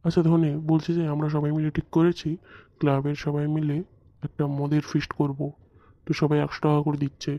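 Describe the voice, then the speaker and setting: A man as an easygoing cartoon club elder, mellow. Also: on the high side, completely flat delivery, fairly quick, no room sound, highs cut off, close to an everyday voice. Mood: relaxed. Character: easygoing elder-brother figure of a club of idle friends. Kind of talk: comic Bengali cartoon acting.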